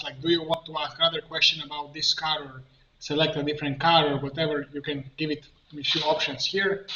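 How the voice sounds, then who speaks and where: A man with a fairly high, bright voice talks through a software tutorial step by step. His delivery is slow and halting, as if thinking aloud while he clicks. The recording is muffled and lo-fi. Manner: halting, instructional, narrating each click. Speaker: coach giving a software tutorial. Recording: muffled lo-fi, roomy echo, background noise.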